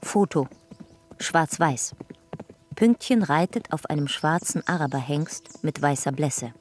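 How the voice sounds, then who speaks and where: An actress playing an impersonal reader of files in a radio drama, formal and clipped, like scripted documentary narration. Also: female voice, low voice, lively intonation, a little slow, a little mellow. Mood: official. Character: impersonal female reader of secret files and captions.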